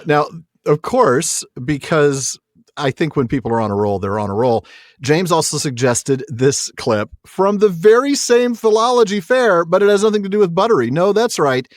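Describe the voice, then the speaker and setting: Higher-voiced man, very animated podcast banter. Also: normal speed, dry recording, studio quality. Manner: jokey, giggly. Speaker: podcast co-host. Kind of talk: casual chat and banter.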